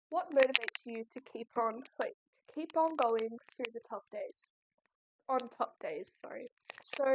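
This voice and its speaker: A woman's voice: on the high side, noisy background. An eighteen-year-old Australian woman chatting casually in a home vlog, rambling with lots of likes and ums. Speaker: crochet vlogger. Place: at home, seated in a chair.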